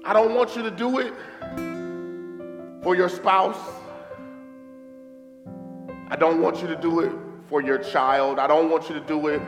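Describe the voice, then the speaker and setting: Hoarse man, pastor, fervent sermon delivery, long reverb, faint guitar underneath. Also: on the high side, steady tone, moderate pace. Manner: fervent preaching, prayer in a hushed voice. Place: church hall. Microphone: stage microphone. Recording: echoey hall with faint guitar music.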